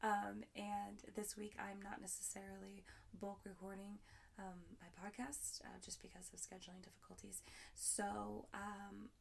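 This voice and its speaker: A young woman talks through her solo podcast in a loose, upbeat ramble full of fillers and restarts. Her voice is hoarse and raspy, with a gravelly fry running under it, at a middling pitch. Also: monotone, unhurried, slight background noise. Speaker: podcast host.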